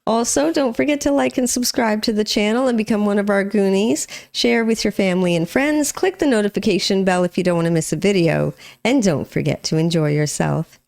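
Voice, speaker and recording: A woman's voice: medium pitch, brisk, creaky, few pauses, a little mellow. A woman co-hosting a music reaction video, chatting casually with her partner and laughing easily. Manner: chatty, laughs often, upbeat sign-off. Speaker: co-host of a music reaction channel.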